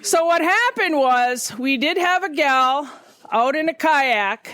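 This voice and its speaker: A woman's voice: high voice, a bit bright, no room sound. A woman hosting a casual radio interview, talking in a chatty, rambling way with an Upper Midwest American accent. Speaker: radio show host.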